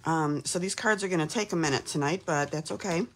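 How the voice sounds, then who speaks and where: A woman from Texas in her fifties, slightly hoarse from a cold, chatting casually while hosting a live craft demo from her home craft room. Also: deep voice, a little fast, slight background noise. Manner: chatty, upbeat, lots of "um"s.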